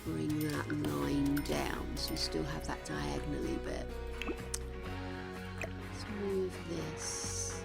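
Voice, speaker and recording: A woman's voice: low-pitched, big pitch swings, slow, vocal fry, pauses often. An older woman streaming a game, chatting casually and musing aloud to her viewers as she builds. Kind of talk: casual gameplay chat. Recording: game music behind the voice.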